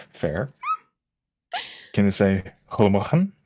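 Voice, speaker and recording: A woman guest on a live stream, joking dryly and self-deprecatingly in halting phrases. Her family is from the Netherlands. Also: very deep voice, big pitch swings, noisy background. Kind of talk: casual live-stream chat.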